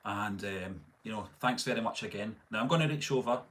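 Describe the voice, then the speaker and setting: A middle-aged man with a Scottish accent, hosting a casual live stream and reading out viewer comments in a relaxed, rambling way full of fillers and restarts. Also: lowish voice, fairly quick. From Scotland. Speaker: channel host.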